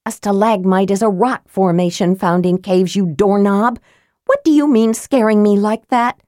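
A woman voicing a nervous, sharp-tongued young woman adventurer in a fantasy audiobook, anxious and easily irritated. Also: mid-range voice, huge pitch swings, unhurried, no room sound, clean studio sound. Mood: indignant. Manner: snappish and anxious.

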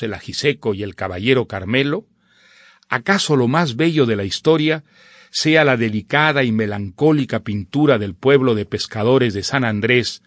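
A man giving scripted literary commentary as a presenter, in an erudite, formal and measured manner. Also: mid-range voice, dry recording, clean studio sound. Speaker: presenter introducing a literary radio drama.

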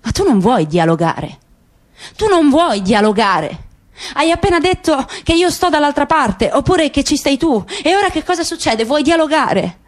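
A woman reading a calm, wry older man. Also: high-pitched, voice pushed up. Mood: probing. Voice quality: quiet, measured, wry. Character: calm, ironic middle-aged Palestinian man. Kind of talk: radio drama reading.

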